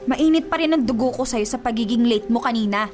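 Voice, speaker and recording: Woman acting young filipina girlfriend, really high voice, naggy n sulky, radio drama w/ soft music. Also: a little fast, natural voice. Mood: angry. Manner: scolding, sulky. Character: nagging, exasperated young girlfriend, easily hurt.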